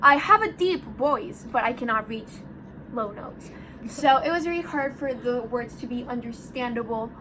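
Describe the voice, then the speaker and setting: Brief: young woman, high bright pitch, chatty and giggly, background noise in the recording. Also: normal speed. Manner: chatty, giggly, switches into Spanish. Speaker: band lead singer. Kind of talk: casual chat among bandmates.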